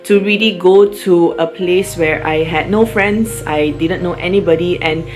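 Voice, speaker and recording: A woman's voice: lowish voice, measured pace, a little mellow, rough recording. A young woman with a Malaysian accent, talking about herself in a casual, rambling vlog with lots of fillers. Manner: chatty, rambling with fillers and restarts. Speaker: vlogger. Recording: music playing underneath.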